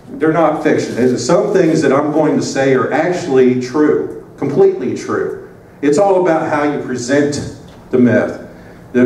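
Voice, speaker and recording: A man presenting at a conference, his talk loose and full of fillers and restarts. He sits a little away from the microphone, so the sound is roomy with a faint hiss behind it, and his pitch stays ordinary. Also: warm tone, far from the mic. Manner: rambling, many fillers and restarts. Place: conference room. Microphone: handheld microphone.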